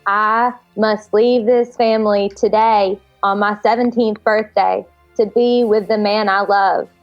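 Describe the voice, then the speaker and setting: A woman playing a melodramatic, earnest seventeen-year-old daughter in a comic screenplay reading, halting and pleading. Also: mid-range voice, steady tone, lo-fi sound, faint music behind, close to an everyday voice. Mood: overwrought.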